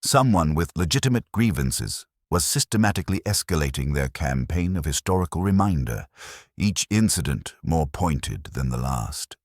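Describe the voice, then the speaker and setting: A man reading a detective mystery as scripted narration, in a measured, formal storytelling manner. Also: very deep voice, sing-song, dry recording, studio quality.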